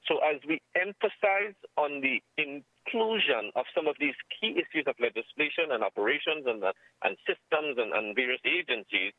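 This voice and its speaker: A man with a Trinidadian accent speaking as an interviewed security expert over the telephone, in a formal, measured, emphatic way, repeating phrases for stress. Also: mid-range voice, no room sound. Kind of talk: phone interview commentary. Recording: muffled narrow-band telephone line.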